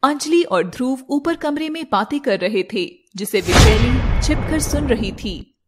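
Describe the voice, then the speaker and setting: High-voiced woman narrating, noisy background. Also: lively intonation, measured pace. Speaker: story narrator. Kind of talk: scripted animated fairy-tale narration.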